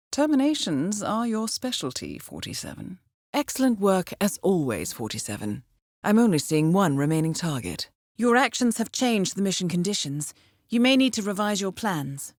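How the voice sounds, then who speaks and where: An actress voicing a cool-headed female spy handler in a video game, briefing an agent with measured, polished precision and dry wit. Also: medium pitch, dry recording, lightly acted. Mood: composed, businesslike. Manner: measured, composed, precise, understated. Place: studio.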